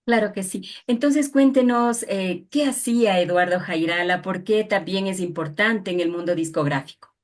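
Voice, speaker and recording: A woman's voice: mid-range voice, normal speed. A woman, politely putting questions as an interviewer. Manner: polite, formal address.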